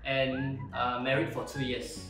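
A man's voice: mid-range voice, monotone, measured pace. A man, chatting casually as an interview guest. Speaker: interview guest, personal trainer. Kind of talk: casual chat. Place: living room sofa, indoors. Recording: echoey room, music behind.

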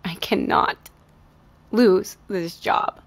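A woman's voice: medium pitch, extremely slow, vocal fry, exaggerated voice. A woman playing a stressed, bitter store manager in an audio drama, upset and close to tears. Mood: distraught, angry. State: upset, tearful. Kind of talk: naturalistic audio drama acting.

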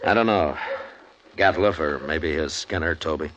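Calm, probing American marshal, radio western; low voice, understated delivery, dull vintage sound. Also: male voice, background noise. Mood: firm, probing. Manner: measured, hesitant, understated. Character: calm, deep-voiced frontier marshal questioning men steadily. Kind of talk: old-time radio western drama acting. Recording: muffled vintage radio recording with music.

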